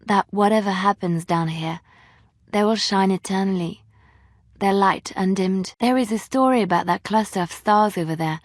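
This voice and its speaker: Game casting: woman as wistful, resilient young female bard, tender measured delivery, close dry sound. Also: medium pitch, no echo, close to an everyday voice. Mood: dreamy. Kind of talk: video game character dialogue.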